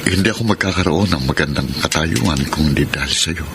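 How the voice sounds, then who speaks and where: An actor with a Filipino accent playing a gentle, hesitant former lover in a radio soap drama, with a halting delivery. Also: male voice, extremely low pitch, background noise. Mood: hesitant, awkward. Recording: loud music bed under radio drama. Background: sentimental music.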